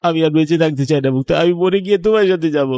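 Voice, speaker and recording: A man's voice: fairly high pitch, racing, dark tone, no room sound, clean studio sound, lightly acted. A man voicing an earnest young landlord's son in love, emotional and dramatic, in a cartoon. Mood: despairing. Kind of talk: melodramatic cartoon voice acting.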